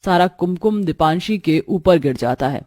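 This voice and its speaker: A woman's voice: fairly low pitch, animated, normal speed, darkish tone, no echo, studio quality. A woman reading scripted narration for a dramatized moral story.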